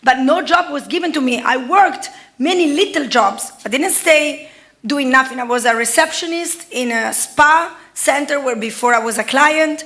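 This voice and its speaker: A woman in her forties with an Italian accent tells her testimony at a church service. Her high, bright voice carries a lively storyteller's manner, and she laughs often as she talks. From Italy. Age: 40s. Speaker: guest preacher. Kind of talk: personal testimony sermon.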